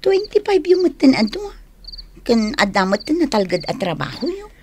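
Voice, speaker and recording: A woman's voice: high-pitched, very animated, normal speed, a little hiss or hum. A woman with a Filipino accent playing a nosy, teasing young office confidante in a radio drama.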